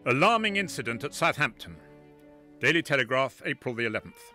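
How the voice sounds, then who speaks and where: Bright, forceful newspaper report reader. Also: male voice, medium pitch, big pitch swings, fairly quick, faint music behind. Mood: grave, urgent. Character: formal 1912 newspaper report reader, urgent. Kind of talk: dramatised newspaper reading.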